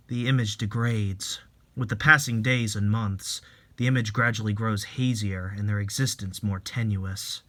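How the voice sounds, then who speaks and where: A man playing a calm, gentle young married man in a dramatic reading, soft-spoken and reflective. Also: on the low side, pitched below the performer's own voice, close to an everyday voice.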